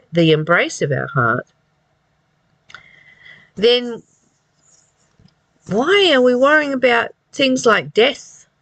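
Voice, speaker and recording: A person reading a spiritual text aloud and commenting on it informally, in a reflective, halting way that often repeats phrases. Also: mid-range voice, fairly bright tone, no echo. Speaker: reader and commentator of a spiritual study.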